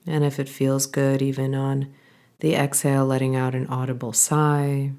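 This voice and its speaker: A woman guiding a body scan meditation, giving calm, gentle instructions in an unhurried way with pauses. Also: a bass voice. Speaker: meditation guide.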